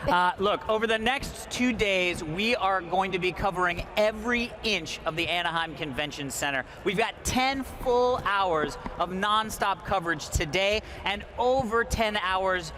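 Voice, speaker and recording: Male live-event host, high voice, jokey and casual, excited delivery, noisy convention floor behind him. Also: a little slow, hardly any pauses. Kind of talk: upbeat unscripted live hosting. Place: live set in a convention center. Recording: noisy convention hall background.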